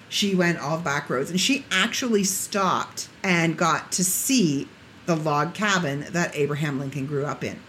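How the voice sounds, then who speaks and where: A woman hosts her podcast alone, chatty and jokey, with a lively pitch that bounces up and down. Her voice has gone a little hoarse, and a faint hiss sits underneath. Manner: chatty, jokey, laughs at own asides. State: getting hoarse. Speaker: podcast host. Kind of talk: casual solo podcast chat.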